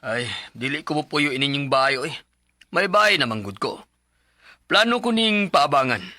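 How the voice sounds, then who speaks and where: A man's voice: a little high, very animated, normal speed, sharp tone, dry recording, studio quality. A man acting an assertive middle-aged house buyer and landlord in a Cebuano Filipino radio drama. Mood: agreeable, firm.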